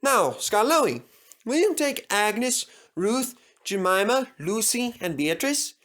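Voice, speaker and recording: A man's voice: high voice, very animated, fairly bright tone, pitched above the performer's own voice, heavily acted. A man doing a grave, proper railway manager. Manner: grave, measured and formal. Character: grave, kindly middle-aged railway manager.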